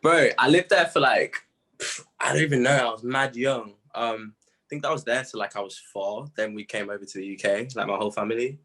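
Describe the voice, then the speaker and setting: A man's voice: medium pitch, a little fast, sharp tone. A young man from Zimbabwe, chatting casually and laughing often on a video call. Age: young adult. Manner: slangy, laid-back, laughs often. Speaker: musician guest. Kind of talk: casual interview chat.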